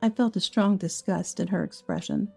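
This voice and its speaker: A woman with a gravelly voice narrating a scripted first-person story in a calm, steady reading. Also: mid-range voice, moderate pace, faint music behind.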